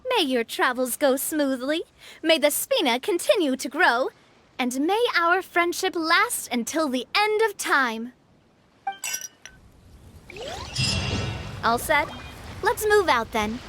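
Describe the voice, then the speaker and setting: Bright, high-voiced young lady boss. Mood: celebratory. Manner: polite, friendly, expressive. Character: gracious young female boss, generous, sentimental, upbeat. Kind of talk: animated video game voice acting. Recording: soft game music behind.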